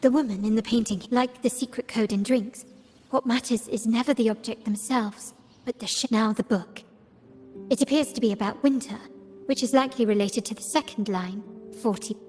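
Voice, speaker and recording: A woman playing a composed, analytical young heiress in a video game, speaking in a measured, formal way. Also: a little high, darkish tone, big-room sound, lightly acted. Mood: pondering. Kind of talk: scripted game dialogue.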